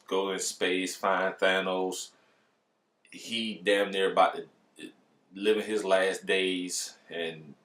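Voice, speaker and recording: A man's voice: low voice, a bit bright. A man giving a casual, slangy movie review, swearing freely as he talks. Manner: profane, slangy and informal. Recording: roomy, echoey sound.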